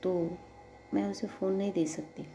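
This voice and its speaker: A woman voicing a heartbroken young woman who answers her elder sister softly and hesitantly, dodging her questions. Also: medium pitch, little pitch movement, measured pace, a little hiss or hum, lightly acted. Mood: evasive. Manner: soft, hesitant, evasive.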